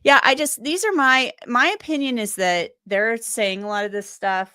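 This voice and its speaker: A woman's voice: fairly high pitch, moderate pace, sharp tone, clean tone, no room sound, clean studio sound. A woman host, getting over a cold, chatting loosely through viewer comments and thinking aloud with many restarts. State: recovering from a cold, voice a bit strained. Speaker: legal commentator and livestream host. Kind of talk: casual livestream chat reading viewer comments.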